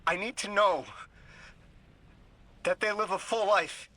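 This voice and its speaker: An earnest game hero lost out of his time. His voice has a bright, pressing edge and an emotionally open, naturalistic delivery. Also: high-pitched, slow pace, slight background noise, exaggerated voice. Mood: anxious, pleading. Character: earnest, displaced man from the past, a polyglot. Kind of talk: naturalistic video game cutscene acting.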